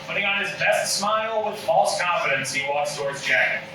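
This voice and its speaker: A man's voice: sits high, expressive, brisk. A man reading scene directions as scripted narration at a table read. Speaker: stage directions reader. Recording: roomy, distant mic with loud background noise.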